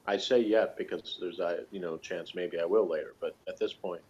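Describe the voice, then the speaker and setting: A man's voice: low-pitched, fairly even intonation, moderate pace, a little mellow, slight background noise. A man chatting casually as a guest reviewer, rambling conversationally with plenty of "you know" and "like". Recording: dull lo-fi recording.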